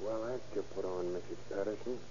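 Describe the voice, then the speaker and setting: An actor playing a wisecracking American prisoner turned trustee, slangy and streetwise, in an old radio crime drama. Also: male voice, deep voice, brisk, close to an everyday voice. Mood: sly, flirty. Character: wisecracking male prisoner made jail trustee. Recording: dull, noisy old radio recording.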